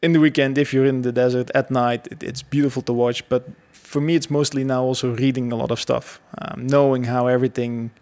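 Belgian guy in his 30s, gravelly, lots of ums and restarts. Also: mid-range voice, little pitch movement, fairly quick, hardly any pauses, darkish tone. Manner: hesitant, many fillers and restarts. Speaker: guest. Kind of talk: casual conversational interview.

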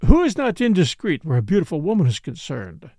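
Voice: medium pitch, huge pitch swings, fairly quick, dark tone, dry recording, clean studio sound. A reader voicing a suave, shrewd French count in an audiobook story, languid, polite and ironic. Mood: polite, easy.

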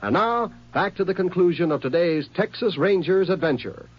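A male network announcer in the 1950s radio manner. His voice is a little high and very animated in pitch, reading promos. The recording sounds dull and dated. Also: moderate pace.

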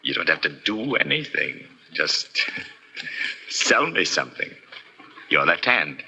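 An actor playing an obsessed, half-mad pianist in a 1940s radio thriller, speaking and narrating in an intense, halting, brooding way. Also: male voice, very deep voice, very animated, sharp tone, full character voice, low-quality recording. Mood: grim, intense. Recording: hissy 1940s radio recording.

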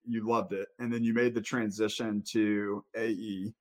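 A man's voice: lowish voice, flat delivery, moderate pace. Thoughtful male podcast host. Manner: thoughtful, lays out opinions at length. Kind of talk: conversational interview.

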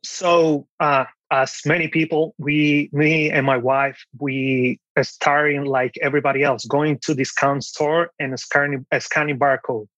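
A man's voice: a little high, little pitch movement, unhurried, few pauses, fairly bright tone, no room sound. Dominican-accented man on a dull, muffled connection. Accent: Dominican Spanish. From Dominican Republic. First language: Spanish. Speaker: podcast guest, online seller. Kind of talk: casual interview storytelling.

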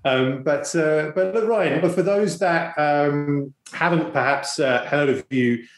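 A man hosting a conversational interview, speaking haltingly with repeated words and frequent "you knows". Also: a little high. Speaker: podcast host. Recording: echoey room.

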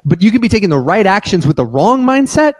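A man hosting a business podcast, talking in an energetic, emphatic way and often repeating words for stress. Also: high-pitched, fast, no room sound. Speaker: podcast host.

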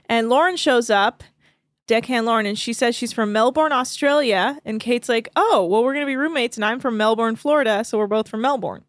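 A woman's voice: on the high side, no echo. Laughing woman podcast co-host, dry sound. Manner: recaps plot at length, laughs often, crude jokes. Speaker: podcast co-host recapping a reality show. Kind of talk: casual chat.

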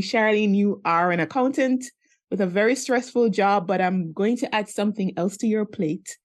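Earnest woman telling her story. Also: mid-range voice. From Jamaica. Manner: earnest, flowing, laughs easily. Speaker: guest, personal finance coach. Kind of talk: podcast interview, personal storytelling.

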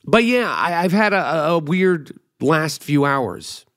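High-voiced man rambling on a podcast. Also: lively intonation, takes it slowly, fairly bright tone. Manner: rambling, profane, self-mocking. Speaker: podcast host. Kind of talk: casual comedic monologue.

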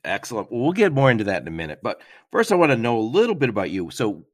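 A middle-aged man hosting a podcast interview, telling stories in an animated way and chuckling as he goes. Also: on the low side, very fast, dry recording, studio quality. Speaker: podcast host.

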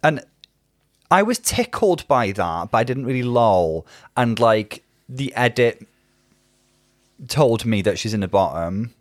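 A man's voice: mid-range voice, big pitch swings, slow, dry recording, clean studio sound. A man co-hosting a casual review podcast, chatty and opinionated, with plenty of fillers. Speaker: podcast co-host.

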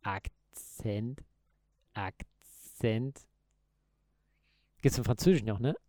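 A man's voice: lowish voice, very slow, mellow, dry recording, studio quality. A man co-hosting a podcast, chatting casually in loose, joking banter. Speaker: podcast co-host. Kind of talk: casual chat.

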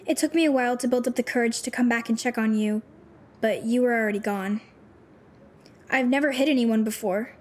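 A woman's voice: fairly high pitch, brisk, darkish tone, lightly acted. An actress playing a timid, defensive teenage schoolgirl, hesitant and earnest, in an audio drama. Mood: nervous, apologetic.

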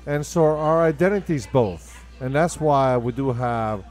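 A man's voice: mid-range voice, a little slow, darkish tone, soft background music. A man with an Argentine accent, a native Spanish speaker, talks in a conversational interview. He sits in a medium register and sounds emphatic and enthusiastic, like a restaurant owner talking up the trade.

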